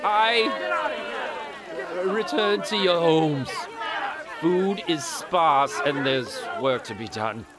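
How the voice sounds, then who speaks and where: An actor playing a pompous, cruel usurping lord in a fantasy audio drama, stammering when flustered. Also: male voice, a little high, animated, very slow, a loud crowd, heavy background noise. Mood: rattled.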